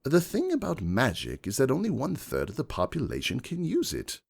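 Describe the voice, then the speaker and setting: A man playing a self-assured, wealthy gentleman stallion who argues emphatically and cuttingly in a dramatic fan fiction reading. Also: mid-range voice, wildly swooping pitch, a little fast, creaky, breathy edge, voice pushed up, heavily acted. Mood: persuasive.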